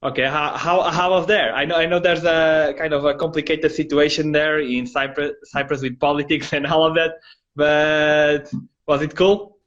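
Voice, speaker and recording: A man's voice: fairly high pitch, steady tone, normal speed, a bit bright, dull audio. A young Portuguese man hosting a casual video interview, friendly and often repeating words as he starts a sentence. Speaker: host.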